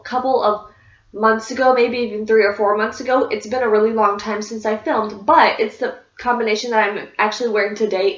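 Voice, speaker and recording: A woman talking casually about her favorite beauty products as a vlogger, a little congested and sniffly, rambling with fillers and restarts. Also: fairly high pitch. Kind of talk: casual product review chat. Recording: echoey room, dull lo-fi sound.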